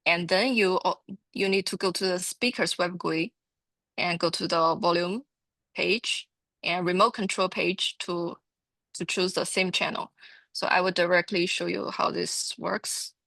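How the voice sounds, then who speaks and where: A woman giving an informal product training presentation, explaining haltingly and often repeating her words. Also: fairly low pitch, fairly even intonation, creaky, sharp tone, no room sound.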